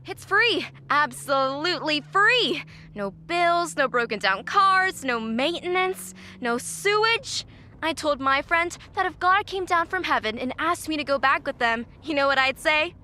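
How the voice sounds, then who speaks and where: An actress playing an excited, gushing woman giving an emphatic testimonial in an audio drama. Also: sits high, slight background noise, full character voice. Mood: zealous, gushing. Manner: emphatic, gushing, drawn-out key words. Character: gushing female radio interviewee, excited convert to a movement.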